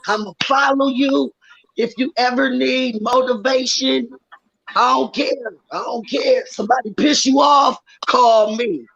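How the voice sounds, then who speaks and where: A woman in her forties with a raspy, worn voice, telling stories loudly and with animation in a casual live-stream chat. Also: high-pitched, unhurried. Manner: loud, animated storytelling. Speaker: guest rapper. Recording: dull lo-fi stream audio.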